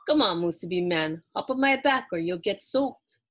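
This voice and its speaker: A woman's voice: fairly low pitch, expressive, normal speed, fairly bright tone. A woman voicing a sly but friendly male surfer in a children's storybook, urging in casual local slang. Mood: coaxing.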